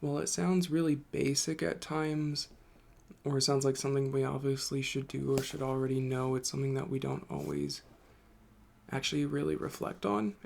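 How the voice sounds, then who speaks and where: Tired, congested man with a gravelly voice. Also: mid-range voice, a little mellow. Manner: rambling, thinking aloud with fillers and restarts. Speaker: host. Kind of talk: casual solo talk to camera.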